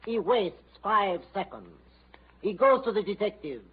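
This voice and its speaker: A fretful French manservant. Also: male voice, high-pitched, big pitch swings, unhurried, slightly rough, heavily acted. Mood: excited storytelling. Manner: theatrical, exclamatory laments. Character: fretful, excitable French manservant, loyal to his master. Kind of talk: 1940s radio drama acting. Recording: tinny old radio broadcast with music.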